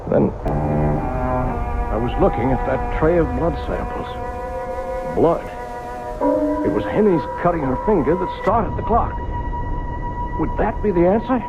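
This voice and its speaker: A man's voice: mid-range voice, lo-fi sound. A haunted, obsessed man in an old-time radio melodrama. His delivery swings dramatically and halts with overwrought urgency, over prominent music. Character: frantic obsessed clock owner, haunted male narrator.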